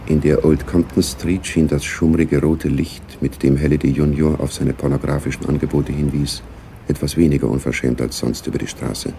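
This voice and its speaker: A man narrating a spy radio drama gravely, with a deep, gravelly voice. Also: level tone, normal speed, mellow, a little hiss or hum.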